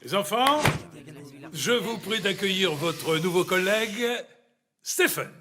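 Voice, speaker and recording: High-pitched, animated bossy office boss. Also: male voice, slow pace, background noise. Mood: brisk, bossy. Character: brisk, bossy male office boss, gleeful and impatient. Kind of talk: animated comedy acting.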